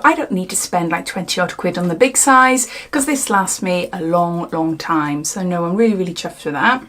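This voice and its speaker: A British woman in her forties, chatting casually through a makeup tutorial in a rambling, friendly way with frequent laughs. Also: a little high. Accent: British English. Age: 40s. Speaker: beauty vlogger.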